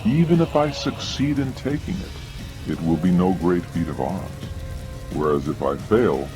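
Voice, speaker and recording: A man reading dramatized scripted narration in a measured, formal way. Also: low voice, lively intonation, music in the background. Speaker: audiobook narrator.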